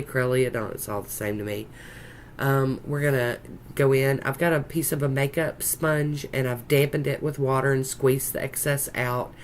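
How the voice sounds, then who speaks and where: A congested woman with a croaky voice and a Southern US accent, chatting casually in a folksy, rambling way as she narrates a nail art tutorial. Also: very deep voice. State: congested with a sinus infection, sore throat. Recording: noticeable background noise.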